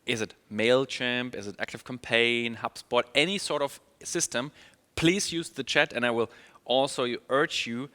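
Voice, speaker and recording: A man's voice: medium pitch, steady tone, normal speed, sharp tone. Upbeat German-accented man presenting in a hall. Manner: upbeat, many fillers and restarts. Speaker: email marketing trainer. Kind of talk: online conference presentation.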